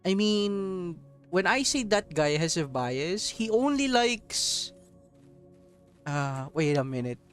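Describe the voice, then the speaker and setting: A man's voice: sits high, expressive, takes it slowly, soft background music. A man from Southeast Asia giving rambling, casual gameplay commentary, laughing often and swearing offhandedly. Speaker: mobile game streamer.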